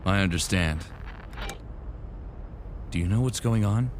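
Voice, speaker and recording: A man's voice: low voice, measured pace, a little hiss or hum. A man playing a weary, remorseful futuristic soldier in an earnest, brooding way, narrating and speaking in a sci-fi audio drama. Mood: businesslike.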